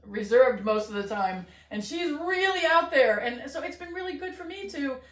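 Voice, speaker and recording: A middle-aged woman co-hosting a podcast, talking in an earnest, gentle way with many fillers and restarts. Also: high-pitched, lively intonation, normal speed. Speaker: podcast co-host. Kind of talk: reflective casual chat. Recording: roomy, slightly distant mic.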